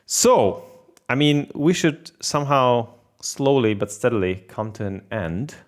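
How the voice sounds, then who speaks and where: Excitable man. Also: mid-range voice, unhurried. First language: Russian. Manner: enthusiastic, rambling rants. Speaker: podcast host. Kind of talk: casual podcast chat.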